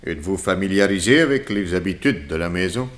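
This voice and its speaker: An actor playing a suspicious, self-assured detective inspector in a 1960s French radio drama, putting clipped questions. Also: male voice, deep voice, expressive, brisk. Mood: probing.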